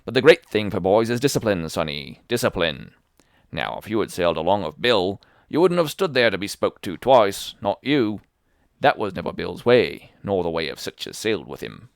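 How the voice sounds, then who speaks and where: A man's voice: deep voice, big pitch swings, moderate pace, vocal fry, no echo, clean studio sound, full character voice. A man reading an audiobook, voicing a fawning, sneering old pirate with a maimed hand who coaxes and threatens by turns. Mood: coaxing. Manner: fawning yet sneering, coaxing. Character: wheedling one-handed old pirate man. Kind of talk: audiobook character voice.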